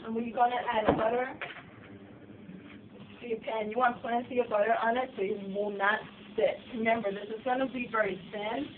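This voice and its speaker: A slightly hoarse woman chatting loosely through a cooking demonstration in her home kitchen. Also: on the high side, fairly even intonation, normal speed. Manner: chatty, loose, with fillers and restarts. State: slightly hoarse, getting sick. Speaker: cooking show host. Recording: distant, tinny and noisy home video.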